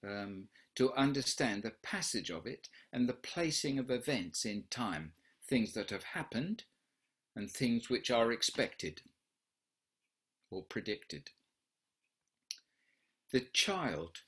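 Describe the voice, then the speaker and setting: A man in his fifties leading an online question session from a room at home, speaking slowly and reflectively over a video call. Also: medium pitch, fairly bright tone. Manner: slow, reflective, husky. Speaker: workshop leader. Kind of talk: online Q&A talk. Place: home room with wooden doors.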